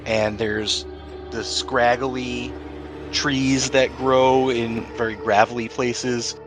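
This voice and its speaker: A man's voice: medium pitch, little pitch movement, slow. A man acting as game master, describing scenes in a conversational, improvised way for a tabletop role-playing game. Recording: music playing behind the voice.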